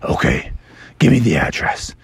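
A man voicing a gruff, impatient grown male superhero in a bedtime story, low and clipped. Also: moderate pace, close to an everyday voice. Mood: gruff, hurried.

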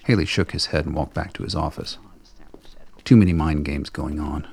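A man's voice: rumbling low, moderate pace, vocal fry, darkish tone, slight background noise. A man reading scripted dramatic narration as the narrator of a radio play.

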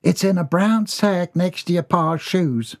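A man doing a shrill fretful Southern farm wife. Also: measured pace. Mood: fussy scolding.

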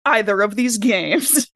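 A woman's voice: fairly high pitch, fairly bright tone, dry recording, studio quality. A woman chatting casually as a podcast cast member, often laughing as she talks. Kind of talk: casual chat.

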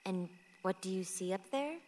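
A woman's voice: medium pitch, expressive, moderate pace, warm tone, lightly acted. An actress playing a curious, casual young woman in a radio play. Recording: very echoey reverberant room or hall.

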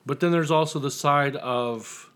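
A man's voice: medium pitch, a little slow, fairly bright tone, clear, resonant tone. A man speaking as a podcast guest in casual interview chat, explaining things reflectively. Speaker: podcast guest, writer and marketer.

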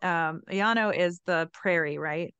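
Clear, low-pitched woman reading a story. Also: a little slow, fairly bright tone, no echo, dull audio. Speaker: podcast host. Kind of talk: story retelling and reading aloud from a book.

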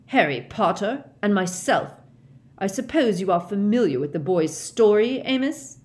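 A woman's voice: mid-range voice, animated, measured pace, a little mellow, voice pushed up, close to an everyday voice. A woman voicing a senior male wizard official who speaks with cold, clipped anger. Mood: cold fury.

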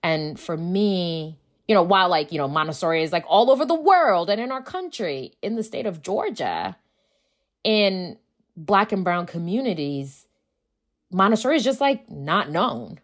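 Low female voice, native Akan speaker, casual interview talk, animated storyteller who voices quoted dialogue. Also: normal speed. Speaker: guest, school founder.

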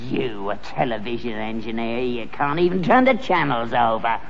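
A man's voice: mid-range voice, lively intonation, normal speed, creaky, a crowd in the background. An actor playing a crafty, stubborn old working-class London father, rambling and scoffing in a Cockney accent in a vintage British sitcom. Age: older. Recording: dull, noisy, reverberant archive sitcom recording.

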